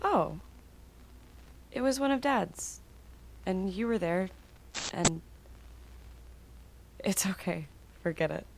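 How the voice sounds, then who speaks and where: A woman playing an anxious, devoted grown daughter in a naturalistic drama, casual, earnest and halting. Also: mid-range voice, expressive, vocal fry, a little mellow, a little hiss or hum, low-quality recording. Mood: wistful.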